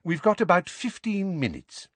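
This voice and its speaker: A man voicing a mild, courteous wizard mentor in a storybook, calm and explanatory in manner. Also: high voice, normal speed, no room sound, pitched above the performer's own voice, full character voice. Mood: calm, reassuring.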